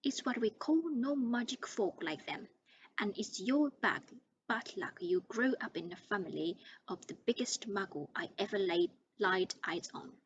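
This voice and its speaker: A woman voicing a huge, gruff male giant groundskeeper who talks in a loud, blustering, rustic way. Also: mid-range voice, animated, unhurried, lo-fi sound, slight background noise. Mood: indignant.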